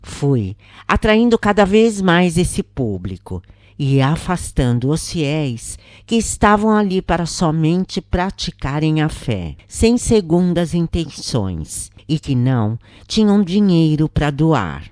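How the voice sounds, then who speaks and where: A Brazilian woman reading a scripted first-person spiritual account as a narrator, in a measured, carefully phrased way. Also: deep voice, big pitch swings, a little mellow, no room sound, clean studio sound. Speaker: narrator and channel host.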